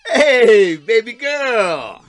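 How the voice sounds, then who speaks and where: A man's voice: very high voice, sing-song, very slow, full character voice. A man playing a taunting, wheedling estranged husband and father in an audio drama. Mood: wheedling, playful. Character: needling, mocking estranged husband and father, hard-drinking. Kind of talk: naturalistic audio drama acting.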